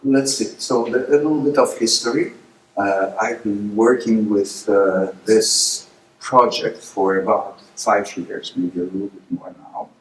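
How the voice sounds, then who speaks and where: A middle-aged man whose first language is Polish, giving a lecture presentation, hesitant with many filler pauses but rising with emphasis on key points. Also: lowish voice. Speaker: guest speaker. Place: lecture room. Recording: echoey hall, distant mic, faint noise.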